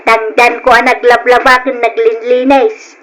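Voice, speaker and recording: Pushy older filipino mom-in-law, radio drama, high voice, bit of noise on the recording. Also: female voice, flat delivery, unhurried, a bit bright. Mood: demanding, brazen. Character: mother-in-law, brisk, stubborn, demanding her pay. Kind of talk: Ilocano radio drama acting.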